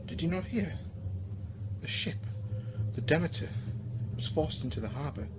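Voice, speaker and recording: A man playing a devoted, polite, worried Victorian gentleman in a radio drama, speaking earnestly. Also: a little high, animated, normal speed, close to an everyday voice. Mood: sombre. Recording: clearly noisy audio.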